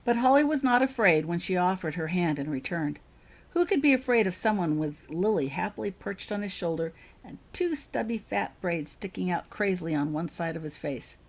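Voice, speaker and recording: A woman reading her own fiction aloud as an expressive storyteller. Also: lowish voice, moderate pace. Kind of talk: audiobook-style narration of fan fiction.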